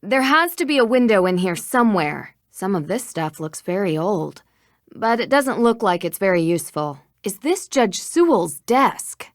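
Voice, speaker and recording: A woman's voice: medium pitch, big pitch swings, normal speed, dry recording. A young woman's mid-range voice in a clean, dry studio sound, as an amateur sleuth in an adventure game. She is curious and self-assured, with a dry, questioning delivery. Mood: searching. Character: curious, confident young female amateur detective. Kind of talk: video game dialogue.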